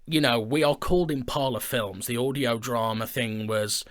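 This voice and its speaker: A man's voice: mid-range voice, normal speed. A man co-hosting a casual studio chat about his creative work, speaking in an informal, enthusiastic way. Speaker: co-host, audio drama creator and film director. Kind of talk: casual chat, announcing projects. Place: indoor studio.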